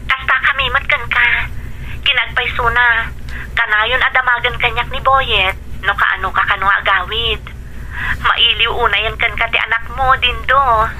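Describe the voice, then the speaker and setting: An actress playing a patient, devoted young wife in a radio drama, earnest and long-suffering. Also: female voice, fairly high pitch, steady tone, moderate pace, pushed and bright, a little hiss or hum, poor audio. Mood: tender longing.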